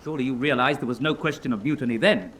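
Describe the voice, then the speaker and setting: An actor playing a relentless, precise military prosecutor in a radio drama, questioning formally and pressing hard. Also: male voice, mid-range voice, very fast, a bit bright, slight background noise, natural voice. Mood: pressing, accusatory. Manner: formal, pressing cross-examination. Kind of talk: radio drama courtroom acting.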